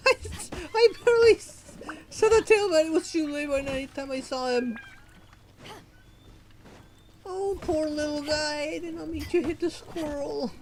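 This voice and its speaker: A woman streamer giving casual, chatty gameplay commentary, excitable and quick to laugh. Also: high voice, slight background noise. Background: faint game music.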